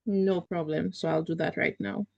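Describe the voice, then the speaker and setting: A woman's voice: on the low side, steady tone, moderate pace, vocal fry. A woman host moderating an online session, speaking briefly and accommodatingly. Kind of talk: video call moderating. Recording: muffled, dull video call audio.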